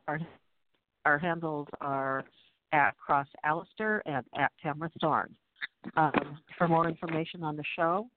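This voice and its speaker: A man co-hosting a radio show, running through announcements in an informal way as he makes things up on the spot. His voice sits a little high for a man. It comes through a dry, tinny phone line. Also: dry recording. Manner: informal, improvising. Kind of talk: show announcements and guest introduction.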